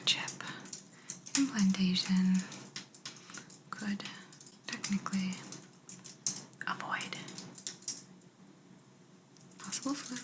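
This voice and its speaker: A woman voicing a calm, politely superior alien examiner in an ASMR sci-fi roleplay, in a soft breathy whisper with a gentle, musing manner. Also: medium pitch. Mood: clinical. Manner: slow, gentle, hesitant musing. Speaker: ASMR performer.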